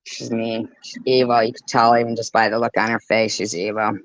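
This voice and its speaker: A woman's voice: a bass voice, flat delivery, fairly quick, no echo. A woman hosts a livestream with casual, profane reaction commentary. She is confrontational and direct. The audio is dull and close, with very little room sound. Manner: blunt, combative, profane. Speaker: livestream host.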